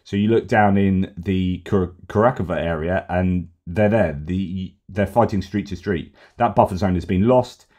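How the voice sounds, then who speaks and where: A man's voice: deep voice, moderate pace, few pauses, darkish tone, lo-fi sound. A middle-aged man hosting a casual news commentary from a small home room over a headset, rambling with fillers and restarts, his voice slightly worn. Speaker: host.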